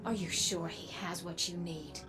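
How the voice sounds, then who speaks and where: A woman's voice: fairly low pitch, fairly even intonation, moderate pace, lightly acted. A woman playing a stern, distrustful soldier in a video game, with a terse, commanding manner. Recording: music behind the voice.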